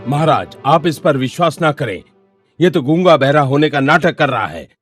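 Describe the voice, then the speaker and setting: A man playing a stubborn, argumentative donkey owner in a children's animated story. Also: fairly high pitch, normal speed, soft background music, close to an everyday voice. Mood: complaining.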